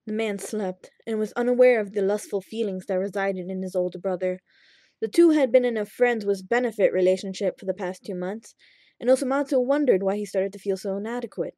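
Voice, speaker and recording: A woman narrates a piece of fan fiction with expression. Her voice is dark and relaxed, at a middling pitch. The sound is dry, with hardly any room to it. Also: a little fast, no room sound. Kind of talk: expressive scripted narration of a story.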